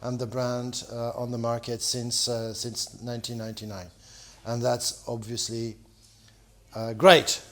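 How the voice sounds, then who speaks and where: A man from France, a champagne producer telling stories in a rambling, animated way, full of restarts and "you know". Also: medium pitch, a little slow. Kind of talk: storytelling in casual conversation.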